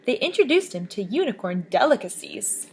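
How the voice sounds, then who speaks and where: Woman, high voice, very animated, children's story read-aloud, faint hiss. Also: normal speed. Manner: expressive, lively storybook voices. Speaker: children's book reader.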